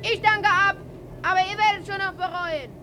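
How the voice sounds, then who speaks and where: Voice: extremely high pitch, fairly even intonation, normal speed, pushed and bright, slight background noise. A performer playing a bossy, swaggering boy who leads a street gang in a radio play, giving clipped orders. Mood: indignant. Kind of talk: German radio play dialogue.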